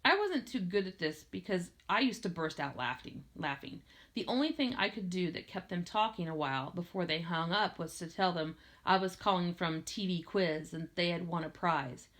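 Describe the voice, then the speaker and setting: A woman reading a first-person novel aloud in an expressive storytelling style. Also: on the low side, normal speed. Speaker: audiobook reader.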